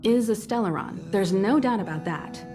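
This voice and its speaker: An actress playing a composed, kindly mentor of space travellers in a video game, explaining things in a poised, reassuring way. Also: female voice, medium pitch, normal speed, darkish tone, natural voice. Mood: grave, reflective. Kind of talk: video game voice acting, measured dialogue. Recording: background music under studio voice.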